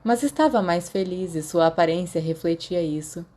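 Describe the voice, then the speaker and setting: Brazilian lady reading an audiobook story, lowish voice, dark mellow tone. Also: moderate pace. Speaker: podfic narrator. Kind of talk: scripted fiction narration.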